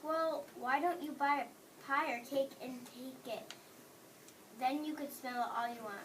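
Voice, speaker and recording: A woman's voice: sits high, measured pace. A young woman playing a cross, miserly male baker in amateur readers theater, scolding in a stiff read-aloud way. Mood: grumpy. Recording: noisy room, distant microphone.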